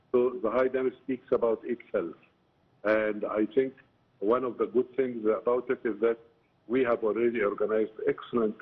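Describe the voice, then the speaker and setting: A man with an Egyptian accent giving halting, explanatory answers as an expert guest in a broadcast interview, often saying "you know". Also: lowish voice, slightly rough. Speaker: political science professor, interview guest. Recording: muffled, narrow phone-like line.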